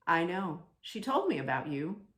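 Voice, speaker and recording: A woman voicing a kindly, big bearded old woodcarver in a children's storybook, gentle, slow and thoughtful. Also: on the low side, very animated, roomy sound. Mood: tender. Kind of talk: children's storybook read-aloud.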